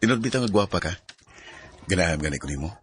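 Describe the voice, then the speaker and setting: A man's voice: low-pitched, animated, quick pace, slightly rough. A man acting an earnest, coaxing young working-class suitor in a Bisaya radio drama, with a Cebuano Filipino accent. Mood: smitten, courting. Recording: noisy radio drama audio with clicks.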